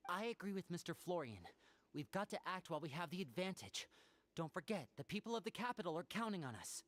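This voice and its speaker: Lively young magician adventurer. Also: female voice, on the low side, fast talker. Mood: determined. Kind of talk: anime game voice acting. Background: music.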